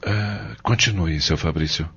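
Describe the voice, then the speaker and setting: A man's voice: low-pitched, measured pace, sharp tone, slightly rough, exaggerated voice. A Brazilian man playing a worried, earnest father in an audio drama, courteous and full of questions. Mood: curious, grave. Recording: dull lo-fi audio.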